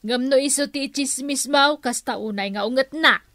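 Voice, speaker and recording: A woman's voice: high-pitched, moderate pace, fairly bright tone, dry recording. An actress playing a plain-spoken, gossipy village neighbour woman in a radio comedy drama. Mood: gossiping.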